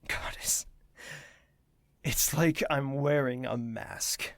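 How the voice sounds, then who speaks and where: An actor playing a burdened young prince in an audio drama, speaking formally and introspectively with a weary manner. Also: male voice, mid-range voice, fairly even intonation, very slow, a bit bright, no room sound. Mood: wistful, tired.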